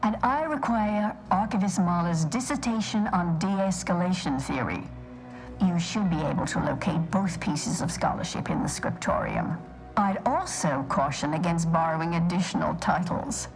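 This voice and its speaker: A prim female scholar in a video game, with a lowish pitch. She is formal, precise and pedantic, with clear music behind her. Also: expressive, normal speed, rough recording. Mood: prim, measured. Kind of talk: video game dialogue acting.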